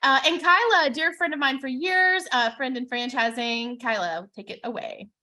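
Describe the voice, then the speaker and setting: A woman's voice: high-pitched, moderate pace, bright, forceful tone. A woman moderating a business panel, upbeat and enthusiastic, often gushing with praise.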